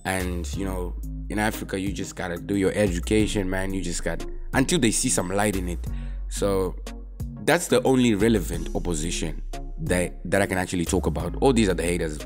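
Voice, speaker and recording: A young man from Zimbabwe, a rapper answering questions about himself in relaxed, casual talk. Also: deep voice, brisk, over music. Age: young adult. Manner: relaxed, laid-back, drops in "man" often.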